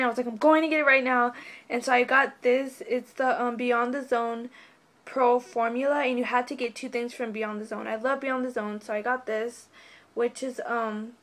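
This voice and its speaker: Young woman chatting, voice going hoarse. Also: on the high side. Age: young adult. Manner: bubbly, rambling, lots of "like" and "um". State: losing her voice, getting sick. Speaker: vlogger. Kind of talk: casual haul video chat. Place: bedroom, indoors.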